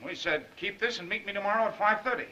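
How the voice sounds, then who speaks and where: An actor playing a tough-talking, insistent newspaper reporter in a 1930s crime film, on a muffled old soundtrack. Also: male voice, high-pitched, quick pace, pushed and bright, a little hiss or hum. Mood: tough, impatient.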